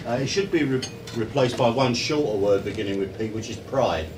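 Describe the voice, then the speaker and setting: A man's voice: medium pitch, a little fast, background noise. Distant man in an echoey room.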